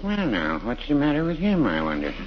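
An actor playing a mild, folksy older druggist with a dry, hedging manner in a 1940s radio comedy. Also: male voice, medium pitch, wildly swooping pitch, a little fast, over loud music. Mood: placid, folksy. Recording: dull, noisy 1940s radio recording.

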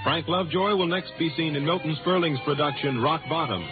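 A man's voice: fairly high pitch, a little fast. A man working as a radio announcer, reading scripted show copy in a formal 1950s broadcast style. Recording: muffled 1950s radio broadcast over music. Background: music.

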